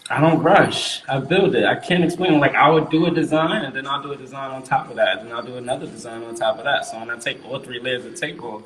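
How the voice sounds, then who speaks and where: A man's voice: medium pitch, fast, hardly any pauses, a bit bright, roomy sound, slight background noise, poor audio. A man from Queens, a guest artist talking casually and emphatically, repeating himself and using street slang. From Queens, New York. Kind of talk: casual interview chat.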